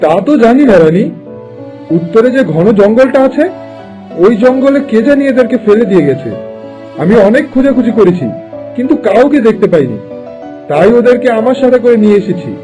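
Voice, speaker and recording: A man voicing a tender, excitable king in a cartoon fairy tale, speaking in a theatrical, wondering way. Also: very high voice, a little fast, pauses often, over music, low-quality recording. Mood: earnest.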